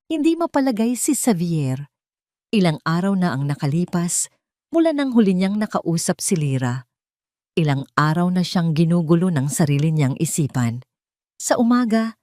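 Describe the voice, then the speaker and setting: A woman's voice: low-pitched, warm tone, dry recording, studio quality. A woman reading a scripted dramatic story as its narrator.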